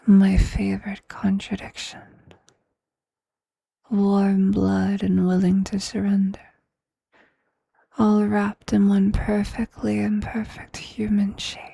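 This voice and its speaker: A performer voicing a tender, possessive, motherly vampire in a soft, breathy whispered ASMR roleplay, speaking slowly and intimately in formal, poetic phrases. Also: mid-range voice, monotone, no room sound, clean studio sound, exaggerated voice.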